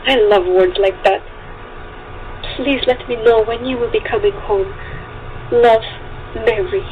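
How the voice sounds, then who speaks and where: A hopeful young woman reads her love letters aloud, played by a woman in a homemade radio drama. Her voice sits very high, and she speaks with earnest devotion. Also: fairly even intonation, unhurried, narrow, tinny audio, a little hiss or hum, close to an everyday voice. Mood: loving. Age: young adult.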